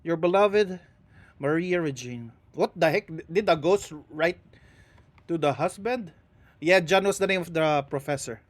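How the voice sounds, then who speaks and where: A male streamer reads ghost stories aloud on a live stream, with dramatic pacing broken by casual asides. He mumbles and stumbles over words now and then. His voice is a little high for a man, and it comes through a close desk mic with a dry sound. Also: moderate pace, dry recording.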